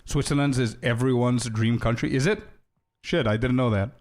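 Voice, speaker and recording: A man's voice: mid-range voice, steady tone, normal speed. A young man streaming a game, chatting loosely with his viewers and telling rambling stories. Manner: loose, chatty, talks to chat as "bro", trails into asides. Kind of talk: casual live-stream chat with viewers.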